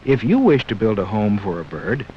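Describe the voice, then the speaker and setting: A man narrating a scripted 1960s educational film in a measured, explanatory way, speaking directly to young viewers. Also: lowish voice, very animated. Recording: dull, hissy 1960s 16mm film soundtrack.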